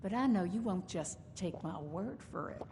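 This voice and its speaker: A shrewd female caravan boss from a video game, proud and sardonic. She talks in genteel, folksy turns of phrase. The sound has long reverb over a faint noisy rumble. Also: lively intonation, normal speed, warm tone. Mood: defiant. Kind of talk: video game character dialogue.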